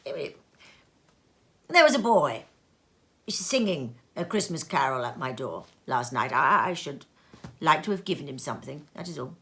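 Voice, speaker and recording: A woman's voice: low-pitched, very animated, pushed and bright, pitched below the performer's own voice, full character voice. A woman voicing a shaken, regretful old man in a storybook, muttering and stammering. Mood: halting regret. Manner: halting, stammering delivery.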